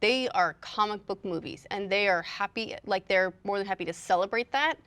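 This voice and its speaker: A woman with a medium-pitched voice chats on a discussion panel. Her way of talking is informal and a bit wry, as in a casual conversation among colleagues. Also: normal speed, a bit bright, clear, resonant tone. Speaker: panelist on a film and gaming talk show.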